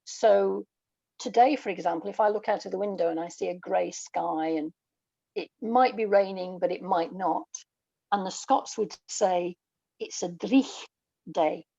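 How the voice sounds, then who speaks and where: An English woman talks as an interview guest, reflective and articulate. Her voice has a marked creak. The sound is dry and somewhat dull, as on a lo-fi recording. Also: mid-range voice, unhurried, dark tone, no room sound. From England. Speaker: guest, writer and audio dramatist. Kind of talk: casual interview conversation.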